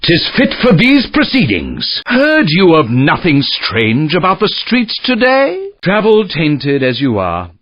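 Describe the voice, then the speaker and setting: Hammy shakespeare actor for a game, slow, huge pitch swoops, grand n solemn, muffled. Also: male voice, wildly swooping pitch, full character voice. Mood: lofty judgement. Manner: theatrical, declamatory, archaic. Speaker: race announcer in a driving game. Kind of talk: mock-Shakespearean stage declamation in a game.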